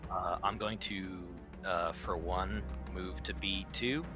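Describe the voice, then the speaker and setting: A man's voice: low voice, steady tone, slow pace, over music. A man chatting casually as a player at a tabletop roleplaying game.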